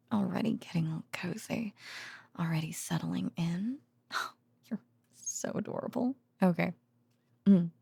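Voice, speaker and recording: A woman playing an affectionate, excitable researcher girlfriend in an intimate bedtime roleplay, speaking softly and breathily in a hesitant, chatty way close to the microphone. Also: fairly low pitch, no echo, full character voice. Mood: tender, doting, then chatty, animated. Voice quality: breathy, soft, often near a whisper. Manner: hesitant, chatty, with sighs and giggles. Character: affectionate, rambling, excitable researcher girlfriend soothing her boyfriend to sleep. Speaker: voice actor. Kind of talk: intimate ASMR-style roleplay monologue.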